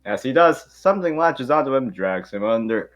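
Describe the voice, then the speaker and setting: A man's voice: mid-range voice, animated, normal speed, muffled recording. A man hosting and narrating an amateur table read, informal and sometimes stumbling over the script. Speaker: host and narrator.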